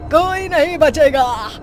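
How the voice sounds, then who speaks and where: A woman voicing a bloodthirsty female witch in a cartoon horror story, speaking slowly with gloating cackles. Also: high voice, full character voice. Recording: background music under voice.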